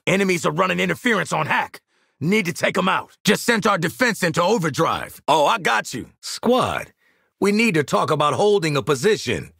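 A man's voice: a little high, creaky, fairly bright tone, breathy edge, dry recording, studio quality, full character voice. A man playing a cocky, wry mercenary marksman in a video game, streetwise and sardonic, barking commands to his squad and swearing freely. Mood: urgent, commanding.

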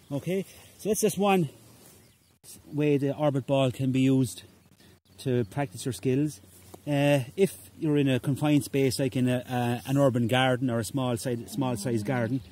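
A middle-aged man from Ireland who coaches hurling and gives a casual demonstration on a large rural lawn, talking in a relaxed way with frequent "okay?" checks and fillers. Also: mid-range voice, moderate pace, husky. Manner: relaxed, repeats "okay?" often, hesitant fillers. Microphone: phone camera at ground level.

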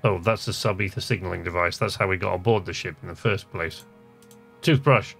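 A man's voice: low voice, fairly quick, vocal fry, fairly bright tone, slightly rough, soft background music. A man giving casual gameplay commentary, talking to himself and reading game text aloud in an easily amused, musing way. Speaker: gamer, video host.

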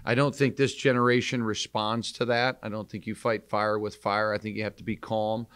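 Low-voiced American man, a coach at a presser. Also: flat delivery, moderate pace, fairly bright tone, clear, resonant tone. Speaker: college basketball head coach. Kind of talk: postgame press conference answers. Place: indoor press conference room, seated at table. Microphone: microphone on table stand.

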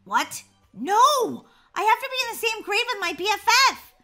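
A woman's voice: sits high, expressive, moderate pace, sharp tone, soft background music. A woman voicing a whiny, dramatic schoolgirl who pleads and exclaims in an acted family story. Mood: pleading, upset.

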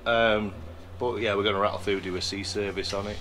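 A man's voice: lowish voice, level tone, brisk, a bit bright, a little hiss or hum. A man in his forties, an aircraft maintenance engineer, chatting as he works through a job in a hangar and often restarting his sentences. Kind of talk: casual hands-on workshop walkthrough. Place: large indoor aircraft hangar.

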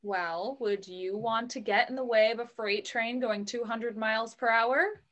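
A woman's voice: fairly high pitch, fairly even intonation, measured pace, a bit bright, muffled recording. A young woman playing a doting, blunt, sassy fifty-year-old mother in a play reading over a video call. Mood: mock stern. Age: young adult. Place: home bedroom, indoors. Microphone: video call on computer.

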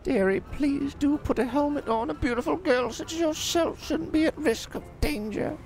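A woman's voice: sits high, moderate pace, darkish tone, soft background music, low-quality recording. A woman voicing a genteel, easily scandalized elderly lady who calls people dear and talks in a fussy, quavering way. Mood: prim. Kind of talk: roleplay acting.

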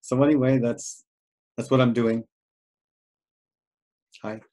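A man's voice: medium pitch, fairly even intonation, takes it slowly, mellow. A man, a panelist photographer, speaking in an easygoing, modest way on a video call. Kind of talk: casual video call chat.

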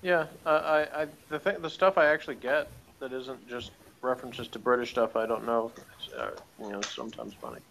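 A man with an average-pitched voice talks casually as a review co-host. His delivery is halting and colloquial, and there is noticeable noise on the recording. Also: fairly even intonation, vocal fry, a bit bright. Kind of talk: casual chat.

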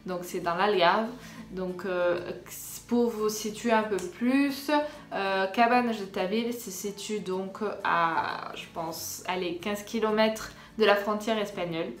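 A woman's voice: medium pitch, slow. A young woman from France, chatting casually to her viewers in a hesitant storytime style, repeating words for emphasis. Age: young adult. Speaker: vlogger. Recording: echoey room with faint background music.

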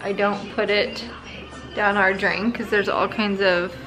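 A woman in her thirties with a creaky voice chats casually as a home cleaning vlogger. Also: mid-range voice, little pitch movement, a little slow, over music, low-quality recording. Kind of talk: casual vlog chat. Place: indoors at home.

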